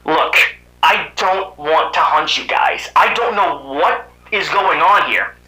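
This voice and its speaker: Man playing wisecracking cartoon cyborg animal hero, sardonic, very bright highish voice, echoing room. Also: takes it slowly, exaggerated voice. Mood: insistent, earnest. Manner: sardonic, muttering to himself and exclaiming. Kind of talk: amateur animated drama voice acting. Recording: roomy, echoing voice track.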